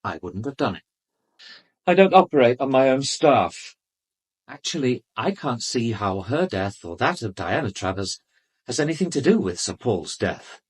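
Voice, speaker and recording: An actor playing an arrogant, urbane British doctor in a radio drama, speaking with haughty, defensive precision. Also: male voice, mid-range voice, sing-song, moderate pace, breathy edge. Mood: dismissive, defensive. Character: arrogant, urbane male doctor under police questioning.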